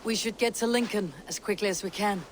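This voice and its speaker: A woman's voice: mid-range voice, a little fast, long reverb tail, slight background noise, close to an everyday voice. An actress playing a blunt, impatient Viking warrior woman in a video game, speaking in a commanding way. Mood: brisk.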